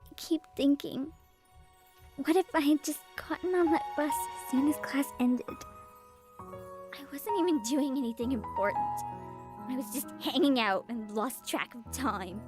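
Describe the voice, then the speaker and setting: High-voiced grieving young sister. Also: female voice, unhurried. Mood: guilty, anguished. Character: grieving young sister, guilt-ridden, earnest, teasing with her brother. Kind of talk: visual novel voice acting. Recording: music playing behind the voice.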